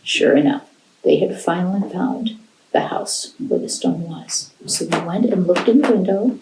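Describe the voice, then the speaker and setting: Slow, very expressive American woman storyteller. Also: on the low side, a little hiss or hum. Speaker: library storyteller. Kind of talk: animated folktale storytelling for children. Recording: roomy, echoing indoor sound.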